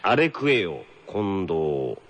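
A man's voice: deep voice, big pitch swings, slow, loud music behind the voice. A man co-hosting a comic radio show, bantering and often chuckling as he explains segments.

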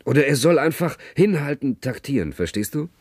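An actor playing a calm, scheming conspirator in a radio drama, speaking in a controlled, persuasive way. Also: male voice, medium pitch, a little fast, dry recording, studio quality. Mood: quietly scheming. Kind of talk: German radio drama dialogue.

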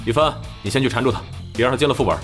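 A man's voice: mid-range voice, racing, music in the background, natural voice. A man voicing a brusque, hot-tempered young male gamer who barks curt orders in an audio drama. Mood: commanding. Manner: curt, commanding.

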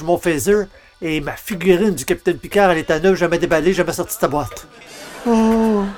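An excitable, geeky male columnist, played by an actor in 1940s-style radio comedy. His voice sits on the high side, theatrical and earnest, with faint music underneath. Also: close to an everyday voice. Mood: ecstatic. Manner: theatrical, exclamatory, muttering to himself.

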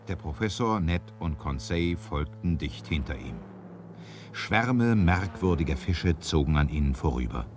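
Male narrator for vintage adventure radio play; very low register, highly expressive range, deliberate dramatic delivery. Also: slight background noise. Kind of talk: dramatic scripted storytelling, 1970s radio play.

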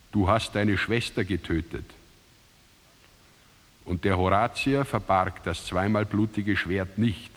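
A man narrating a literary drama as a solemn, declamatory and deliberate recitation. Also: fairly low pitch. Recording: music under the voice. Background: music.

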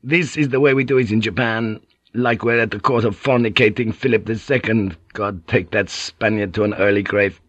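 A man voicing a boisterous, swaggering sea pilot who talks in a loud, jovial, profane way, on a dull cassette audiobook tape. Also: lowish voice, fairly even intonation, normal speed, no echo.

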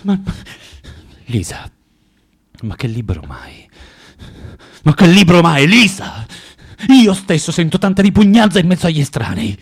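An actor in an Italian radio drama playing a bitter, self-loathing man who lectures a young woman haltingly and theatrically, swinging from tender to vehement. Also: fairly high pitch, sing-song, husky, full character voice. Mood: wounded, vehement.

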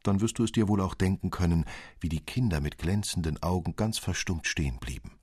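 A male narrator with a very low, husky voice reads a literary fairy tale. His storytelling is measured yet expressive, recorded close and dry with no room sound. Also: no room sound.

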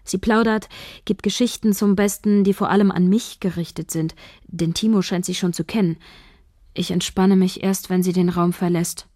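An actress narrating as a shy young woman scriptwriter in a radio drama, quiet and wry. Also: lowish voice, fairly even intonation, moderate pace, no echo, clean studio sound, lightly acted.

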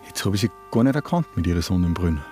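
A gruff, impatient Viennese man with a lowish voice, nervously set on a risky plan. He talks in curt, colloquial grumbles in radio drama style, with faint music behind him. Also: lively intonation, racing, a little mellow. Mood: edgy. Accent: Viennese Austrian. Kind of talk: naturalistic radio drama acting.

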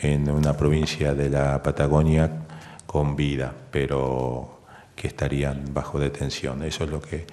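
A man's voice: very deep voice, level tone, measured pace, dark tone. A man giving careful, hesitant interview testimony, qualifying what he says. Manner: careful, qualifying his statements. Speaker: interviewee, witness. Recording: echoey hall reverb.

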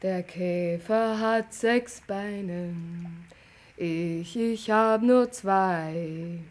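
A woman's voice: medium pitch, very slow, darkish tone. An actress playing a dreamy, clinging young woman in a German radio play, plaintive and in love. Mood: wistful.

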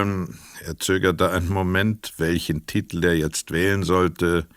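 An actor narrating a crime story in a radio play in a measured, precise manner. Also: male voice, low voice, fairly bright tone, no echo, studio quality.

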